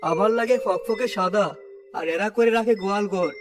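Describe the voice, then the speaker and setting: A man's voice: high voice, brisk, natural voice. A man voicing a harsh, haughty sweet-shop owner in a cartoon story, with a contemptuous, domineering, scolding manner. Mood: haughty, grumbling. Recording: clear background music throughout.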